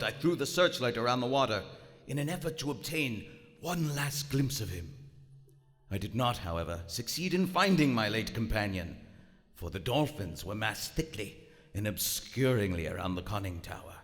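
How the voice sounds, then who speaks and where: Formal, dramatic submarine officer in echoing hall. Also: male voice, fairly low pitch, animated, moderate pace, a bit bright. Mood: grave, measured. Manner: formal, precise, theatrical diction. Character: haughty, coldly rational German submarine commander, a grown man. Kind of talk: live radio drama, narration and dialogue. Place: on stage in a large historic theater. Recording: live theater hall with reverb, music behind.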